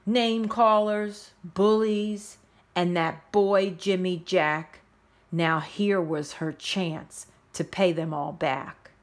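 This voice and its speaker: A woman reading a rhyming children's story aloud in a measured, expressive storytelling manner. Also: lowish voice. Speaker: storybook reader. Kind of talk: children's read-aloud narration in rhyme.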